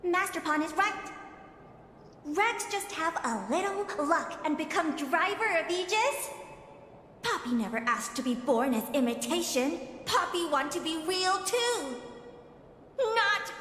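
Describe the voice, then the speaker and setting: A woman's voice: very high-pitched, expressive, a little slow, fairly bright tone, a little hiss or hum, full character voice. A woman voicing a small, wistful, resentful artificial girl in a video game, talking in childlike broken grammar. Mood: hurt longing. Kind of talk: video game cutscene acting. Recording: echoey game cutscene, long reverb, faint ambience.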